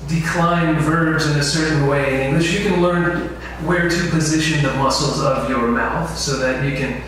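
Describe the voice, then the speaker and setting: A man's voice: fairly high pitch, a bit bright. Man lecturing in a very echoey room. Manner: asks the room questions. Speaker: instructor. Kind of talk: info session lecture. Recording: very echoey room, distant mic, faint noise.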